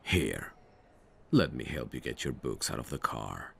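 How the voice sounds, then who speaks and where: Very deep, gravelly, teasing mafia boss, acted by a man. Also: big pitch swings, noisy background, heavily acted. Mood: calm, fond. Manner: teasing, unhurried, suave. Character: smooth, teasing mafia boss, flirtatious and faintly menacing. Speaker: voice actor in an audio roleplay. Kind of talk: ASMR roleplay drama.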